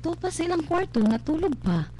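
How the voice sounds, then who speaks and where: A woman's voice: very high-pitched, very animated, moderate pace, warm tone, slight background noise. A woman playing a gossipy, loyal housemaid with a Cebuano accent, chatty and singsong, in a radio drama. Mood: curious, chatty. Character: gossipy, loyal housemaid confidante of young mistress.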